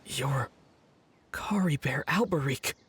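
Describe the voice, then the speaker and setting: An actor playing a brooding young male traveler who mutters his thoughts aloud slowly, as if pondering. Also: mid-range voice, a bit bright. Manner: slow, pondering, muttering to himself.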